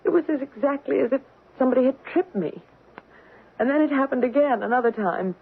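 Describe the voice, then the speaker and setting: A high, husky female voice sounds through a thin old radio broadcast. a fretful, frightened housewife, halting and nervous, as if she can barely stand her haunted home. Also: animated, narrow, tinny audio, exaggerated voice. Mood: anxious. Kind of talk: vintage radio drama acting.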